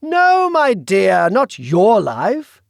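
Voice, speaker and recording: A man voicing a grandiose, menacing duke with a British accent, slow and theatrical. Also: sits high, huge pitch swings, gravelly, no room sound, clean studio sound, pitched above the performer's own voice, heavily acted. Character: suave menacing male duke, grandiose villain.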